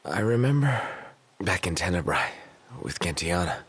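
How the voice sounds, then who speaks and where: Very husky, reserved young prince. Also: male voice, deep voice, sing-song, moderate pace, fairly bright tone, exaggerated voice. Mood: pensive. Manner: casual, understated. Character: reserved young adult prince, plainspoken and pensive. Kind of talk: dramatic video game voice acting.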